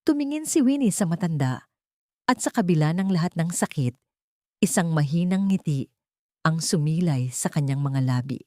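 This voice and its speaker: Low-pitched, mellow Filipino woman narrating. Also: animated, moderate pace, no room sound, clean studio sound. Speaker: audiobook narrator. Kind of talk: scripted dramatic story narration.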